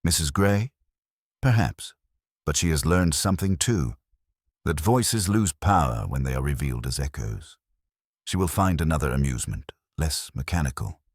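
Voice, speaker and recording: Man playing a very deep, measured police inspector. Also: lively intonation, slightly rough, no room sound, studio quality, exaggerated voice. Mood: mild, reflective. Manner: soft-spoken, measured, with an edge of finality. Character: mild, unhurried, shrewd male police inspector. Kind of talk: dramatised mystery storytelling.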